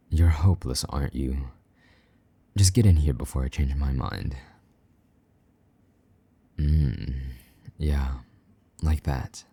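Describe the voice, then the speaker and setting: A man voicing a possessive, jealous vampire knight in an intimate audio roleplay, speaking in a low murmur that drops into soft whispers, teasing and a little gruff. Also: heavily acted.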